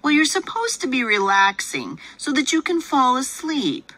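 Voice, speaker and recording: A woman reads a picture book aloud in a soft, breathy voice. Her pitch moves a lot, and she keeps a slow, patient pace with a bright tone. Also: sing-song, no echo. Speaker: teacher reading aloud. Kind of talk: storybook read-aloud, playing the father.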